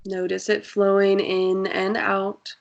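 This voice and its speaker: A woman guiding a breathing meditation in a soft, soothing voice with long pauses. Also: medium pitch, completely flat delivery, vocal fry, highs cut off. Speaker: meditation guide.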